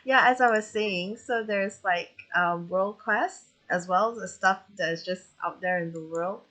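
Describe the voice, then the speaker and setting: A woman's voice: medium pitch, unhurried, sharp tone. A woman from Malaysia chatting casually with viewers as a live streamer, in an informal, giggly, self-deprecating way. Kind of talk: casual livestream chat with viewers.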